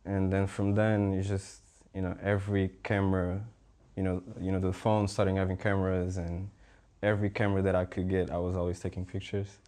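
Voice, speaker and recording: A young man from Portugal, a visual artist speaking as a panel guest in a relaxed, rambling way with many fillers. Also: low voice, brisk, few pauses. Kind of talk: casual conversational talk.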